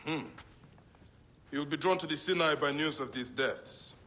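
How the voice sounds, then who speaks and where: An actor playing a grave, determined assassin of ancient Egypt in a video game, terse and resolute. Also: male voice, fairly high pitch, animated, a little slow, sharp tone, slight background noise. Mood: calm, concerned. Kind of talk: video game cutscene acting.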